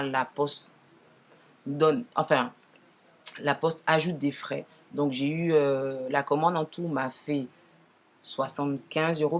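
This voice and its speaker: A woman with a naturally deep voice, lowered further by a cold, chats casually to viewers as she presents makeup, often correcting herself. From Martinique. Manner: informal, frequent self-corrections. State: deeper, hoarse-sounding from a cold. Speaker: makeup tutorial host.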